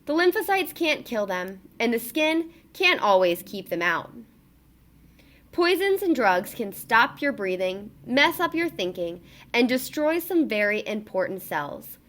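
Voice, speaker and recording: High, very lively woman reading to children. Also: a little slow. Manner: expressive, emphatic delivery for children. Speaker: read-aloud narrator. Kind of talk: children's book read-aloud.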